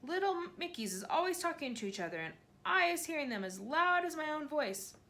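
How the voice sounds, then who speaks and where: A woman voicing a huge, gentle male giant who speaks with jumbled grammar and made-up words, earnest and full of wonder. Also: high voice, very animated, brisk, voice pushed up, full character voice.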